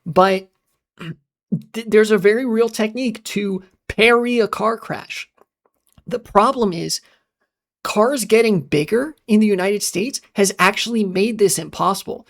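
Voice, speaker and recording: Woman, livestream host, slightly raspy, strained voice, casual chat, halting with fillers. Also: mid-range voice. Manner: halting, many fillers and restarts, talks fast then slows. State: voice strained from long talking and voice training.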